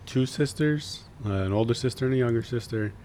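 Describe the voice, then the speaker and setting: A man's voice: mid-range voice, fairly quick, dark tone, slight background noise. A young man from Florida, a podcast guest talking easily, with many uhs and restarts. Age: young adult. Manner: easygoing, hesitant, many uhs. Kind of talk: casual interview chat.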